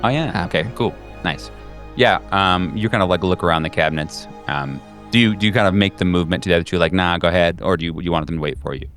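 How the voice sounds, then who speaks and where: A man working as game master, improvising halting, descriptive narration for a tabletop horror game and thinking aloud as he goes. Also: sits low, fairly bright tone. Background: background music.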